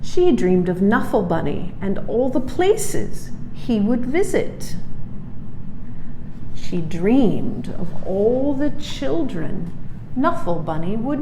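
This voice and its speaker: A woman reading a children's picture book aloud expressively, adding little explanations and reactions for young listeners. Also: mid-range voice. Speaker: storybook reader. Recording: roomy echo, clearly noisy background.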